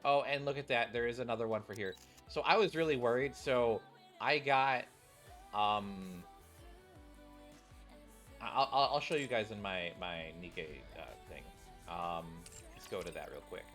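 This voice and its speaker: A man's voice: mid-range voice, measured pace, fairly bright tone. A man who streams games, speaking in an informal, casual commentary style. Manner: informal, rambling, addresses viewers. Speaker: gaming streamer. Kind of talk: casual game commentary and reading aloud of story text. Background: game music.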